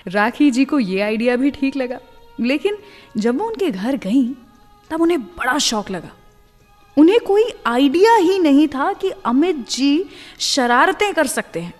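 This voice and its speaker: A woman radio host tells stories in a chatty, confiding manner, dropping English words into her sentences. Her voice sits a little high. It rings out with a long reverb, and soft music plays faintly underneath. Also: big pitch swings, measured pace. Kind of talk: scripted radio storytelling.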